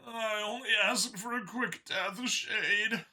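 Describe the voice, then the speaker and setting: Strained, high-pitched cornered alien guard. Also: male voice, slow pace, sharp tone, full character voice. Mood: resigned, bitter. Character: cornered burly alien guard, fearful grudging father.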